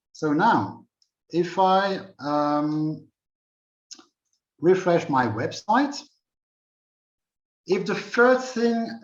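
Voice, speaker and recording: A man from Belgium walking through a software demo at a user group meeting, unhurried and thinking aloud with many fillers. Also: on the high side, reverberant, highs cut off. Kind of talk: screen-share software demo.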